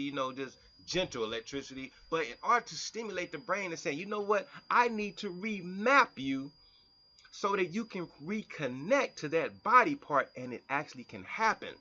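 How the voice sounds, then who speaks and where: Lively, bright-voiced man explaining science. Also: a little high, dull audio. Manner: animated, breaks from reading to comment and mimic people; repeats and restarts words while explaining. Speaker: health vlogger. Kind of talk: reading and explaining science text aloud.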